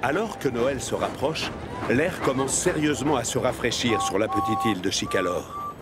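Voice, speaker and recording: A man narrates a scripted children's cartoon story in French. His voice sits at a medium pitch, with music playing clearly behind him. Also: expressive, moderate pace.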